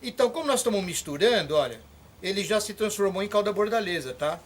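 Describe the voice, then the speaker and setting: A man's voice: high-pitched, lively intonation, quick pace, slight background noise. An older man from Brazil, demonstrating how to mix a farm spray in a folksy, encouraging way that speaks straight to the viewer. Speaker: orchard farmer teaching. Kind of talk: hands-on demonstration.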